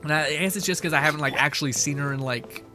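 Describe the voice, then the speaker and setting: Rambling, excitable male game streamer. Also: on the high side, rapid-fire, faint music behind. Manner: rambling, excitable, heavy swearing, many fillers. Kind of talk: casual gaming stream commentary.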